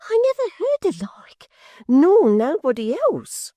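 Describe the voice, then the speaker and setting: Shrill, affected young maidservant: sly gossip, falsetto, swooping and slow, dry audiobook read. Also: male voice, huge pitch swings, dark tone, no echo, heavily acted. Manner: gushing, mock-breathless, drawn-out exclamations. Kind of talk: audiobook character voice.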